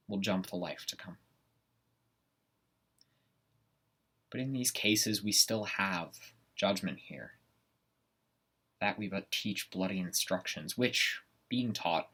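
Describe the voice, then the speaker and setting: Casting: male performer as an ambitious, haunted Shakespearean noble, very deep and rough-edged, weighty theatrical delivery for a stage monologue. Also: bright, forceful tone, heavily acted. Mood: agonized deliberation. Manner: theatrical, deliberate Shakespearean soliloquy with weighted pauses.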